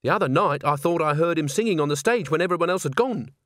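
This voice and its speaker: Man voicing an anxious, hesitant young woman, pitched up. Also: expressive, quick pace, fairly bright tone, dry recording, clean studio sound. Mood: insistent. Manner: hesitant, stammering. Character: anxious, earnest, self-doubting young woman.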